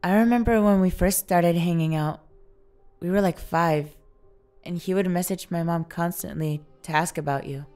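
A woman's voice: fairly low pitch, normal speed, warm tone, faint music behind, lightly acted. A woman playing an easygoing, supportive college roommate in an audio drama. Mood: concerned. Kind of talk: amateur audio drama acting.

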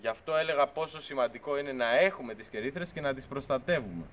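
A man's voice: mid-range voice, fairly bright tone, a little hiss or hum. Earnest man talking outdoors, dull audio. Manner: earnest, argumentative, gestures while talking. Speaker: beekeeping channel host. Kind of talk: casual talk to camera. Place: outdoors by a pickup truck at a farm shed.

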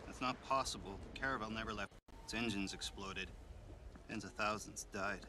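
An older male scientist in a sci-fi video game, acted by a man. He speaks in a grave, measured, disbelieving way. Clear rumbling ambience runs behind him. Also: noisy background, close to an everyday voice. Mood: grave disbelief.

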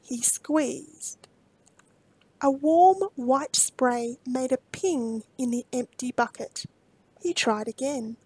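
Casting: female picture-book narrator, high pitch, unhurried, deliberate dramatic emphasis. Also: a little mellow, husky, dry recording, low-quality recording. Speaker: children's storybook reader. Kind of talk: expressive read-aloud storytelling.

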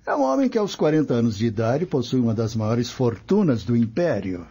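Man acting a husky aging Roman patrician. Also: mid-range voice, big pitch swings, a little fast, warm tone. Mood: admiring, knowing. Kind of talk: radio drama acting.

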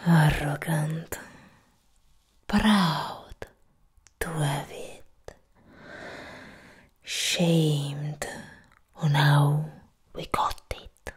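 Woman playing a menacing goddess of death, very slow, breathy whisper, proud would-be queen, close-miked ASMR roleplay. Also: low-pitched, little pitch movement, heavily acted. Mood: scornful. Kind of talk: soft-spoken ASMR roleplay. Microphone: close ASMR microphone.

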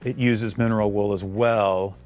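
A man in his fifties from Illinois, giving a relaxed, technical tour of his basement recording studio. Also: on the low side, normal speed, faint music behind. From Illinois, USA.

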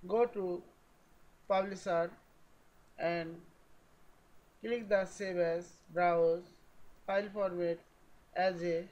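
A man narrating a step-by-step software tutorial in short, halting phrases. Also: high voice, fairly even intonation.

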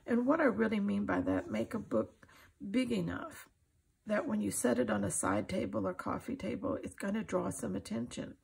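An older woman with a husky, gravelly voice, giving an informal, folksy and encouraging craft demonstration. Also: medium pitch, sing-song, moderate pace, darkish tone. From United States. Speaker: craft tutorial host. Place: indoors at a craft table.

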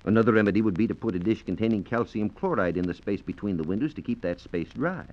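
A deep-voiced American man explains science in a formal, scripted 1940s radio style. His pitch moves in a lively way through measured sentences. The sound is dull and band-limited, from an old transcription disc. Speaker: science reporter on a radio program.

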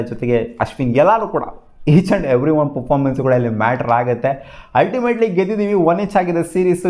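A young man talks through a cricket match in a casual, lively way. He gestures as he speaks from a seated spot in a small home studio. He slips English words into his talk. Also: on the high side. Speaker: cricket channel host. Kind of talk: casual post-match analysis. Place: small home studio with gaming chair and lamps.

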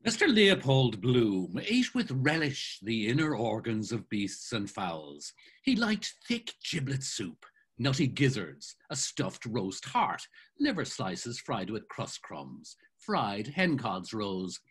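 An older man narrating a novel in a savoring, expressive reading style over a video call. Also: medium pitch, slow pace, breathy edge. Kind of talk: dramatic literary reading. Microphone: video call webcam.

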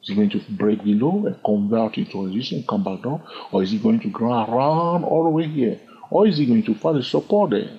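Husky, lively man teaching chart trading. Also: medium pitch, brisk. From lives in London. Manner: animated, asks rhetorical questions, chuckles. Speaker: trading educator. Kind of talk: screen-recorded chart lesson. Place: at a computer, voice over screen. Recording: dull lo-fi sound.

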